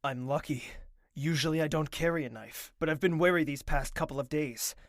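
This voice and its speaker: A man's voice: on the high side, fairly quick, dry recording, clean studio sound, close to an everyday voice. A man playing a grieving, bitter young prince in an audio drama, brooding and intense. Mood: grim narration. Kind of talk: audio drama acting with narration. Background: music.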